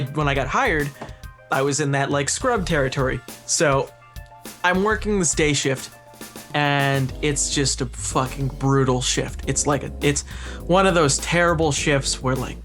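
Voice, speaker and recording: A young man with a slightly high voice tells a casual, profane story to friends. He acts out bits of remembered dialogue, and music plays faintly behind him. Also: a bit bright. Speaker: podcast storyteller. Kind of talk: casual anecdote telling among friends.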